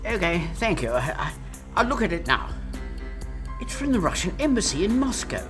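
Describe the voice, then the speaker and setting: A woman playing a flustered official in a crisis in a radio thriller, tense and halting. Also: on the low side, big pitch swings, music in the background. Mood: alarmed. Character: flustered female official facing a diplomatic crisis. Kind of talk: radio thriller drama acting.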